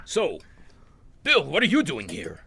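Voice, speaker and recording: A woman playing a flirty shapeshifter posing as an author in an improvised cartoon comedy dub, with a drawn-out, suggestive delivery. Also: low voice, huge pitch swings, creaky, very noisy, full character voice. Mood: flirty teasing.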